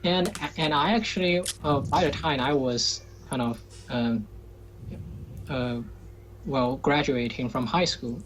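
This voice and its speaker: A man's voice: medium pitch, takes it slowly, background noise. A man from China, a Chinese native speaker, talking hesitantly in a casual call with many fillers and restarts. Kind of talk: casual chat.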